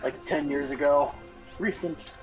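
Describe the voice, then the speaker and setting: A man in his thirties bantering with friends while gaming, animated and quick to exclaim. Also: a little high. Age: 30s. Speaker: co-streamer friend. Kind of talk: casual gaming chat. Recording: game music clearly behind.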